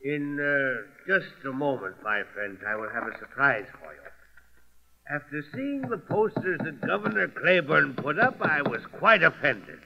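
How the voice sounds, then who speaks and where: An actor playing a suave, menacing pirate boss with mock courtesy in an old radio drama. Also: male voice, a little high, big pitch swings, unhurried, gravelly, exaggerated voice. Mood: gloating. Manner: mock-courteous, taunting, chuckling. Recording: muffled vintage radio recording.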